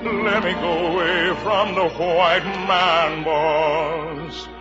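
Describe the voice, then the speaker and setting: An actor playing a weary, burdened riverside labourer, singing in a classical theatrical style on a 1950s radio show. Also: male voice, fairly high pitch, fairly even intonation, very slow. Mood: weary, mournful. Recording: muffled vintage radio recording. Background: loud orchestral music.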